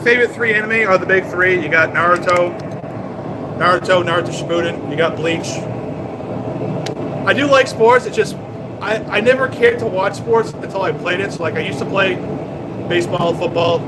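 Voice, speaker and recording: A man with a fairly high voice rambles through casual shop talk, cracking jokes for his live audience. He is in a small garage workshop, and a forge blower roars loudly and steadily behind him. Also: noisy background. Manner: rambling, chatty, jokey. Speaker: blacksmith streamer.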